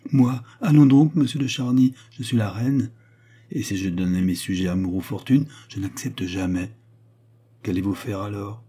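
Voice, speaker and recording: A man's voice: low-pitched, warm tone, husky. A man voicing a proud, imperious queen in a dramatized historical novel, speaking in a commanding, theatrical way. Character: proud, imperious queen, defiant and wounded in love.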